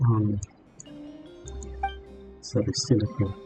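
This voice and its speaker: A man's voice: on the low side, level tone, slow pace. A man giving casual, laid-back game commentary, thinking aloud as he plays. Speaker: gamer, video creator. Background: loud game music.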